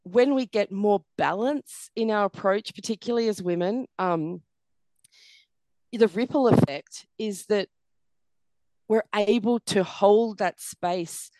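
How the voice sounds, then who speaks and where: Woman, middle-aged, croaky tired voice, earnest, dry close sound. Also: mid-range voice, darkish tone, dry recording, low-quality recording. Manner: earnest, many "you know" fillers. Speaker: podcast guest. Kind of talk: reflective interview conversation.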